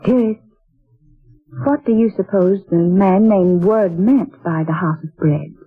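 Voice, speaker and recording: A fond, romantic wife who speaks with dreamy affection. It comes from an old radio drama, thin and tinny, with music clearly audible behind her. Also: mid-range voice, measured pace. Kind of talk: 1940s radio drama acting.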